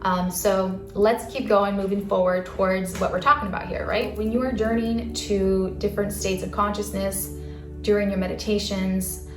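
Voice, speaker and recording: A soft-spoken woman giving a casual spiritual talk to camera in a calm, earnest way. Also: medium pitch. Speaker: spiritual channel host. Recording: roomy echo, faint music.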